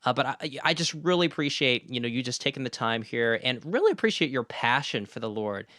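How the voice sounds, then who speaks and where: A man's voice: medium pitch, animated, a little fast, sharp tone, no room sound, clean studio sound. A man hosting a podcast, speaking courteously in interview and scripted outro narration. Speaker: podcast host.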